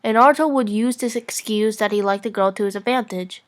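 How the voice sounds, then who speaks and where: A woman's voice: medium pitch, a little fast. A young woman narrating fan fiction as an amateur dramatic reading, playful and sometimes stumbling over her lines.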